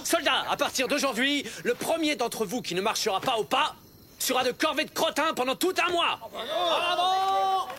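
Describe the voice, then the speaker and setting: An actor playing an arrogant, strict young army captain in a cartoon, barking commands with contempt. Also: male voice, very high voice, animated, moderate pace, noisy background. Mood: commanding, contemptuous. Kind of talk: animated series dubbing.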